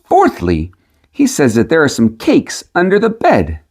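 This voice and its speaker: A man voicing a sly peasant trickster in a storybook, with a measured, cunning delivery. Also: fairly high pitch, huge pitch swings, a little mellow, voice pushed up, heavily acted. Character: sly male peasant trickster selling prophecies.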